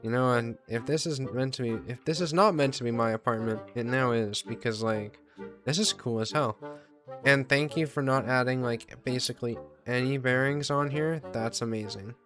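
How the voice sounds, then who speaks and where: A man's voice: medium pitch, normal speed, fairly bright tone, faint music behind. A man giving casual, rambling gameplay commentary as a video host, offhand, self-deprecating and casually sweary.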